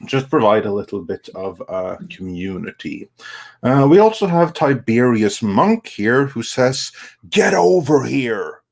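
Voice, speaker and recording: A man hosts a casual live show. He talks slowly and haltingly as he reads out messages and chats with his guests. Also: mid-range voice, big pitch swings, creaky. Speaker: host of a live stream show.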